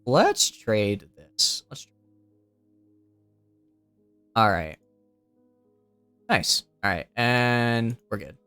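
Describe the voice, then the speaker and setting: A man's voice: mid-range voice, very animated, very slow, pauses often, bright, forceful tone, clean tone, dry recording, soft background music. A young man streaming a game, chatting casually and rambling as he thinks aloud, with a youthful-sounding voice. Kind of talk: casual gameplay commentary.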